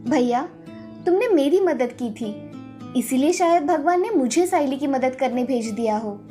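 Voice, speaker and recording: A kind, generous aunt performed by a woman in a cartoon story, speaking affectionately and earnestly. The voice is high, over faint music. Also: animated, normal speed, warm tone.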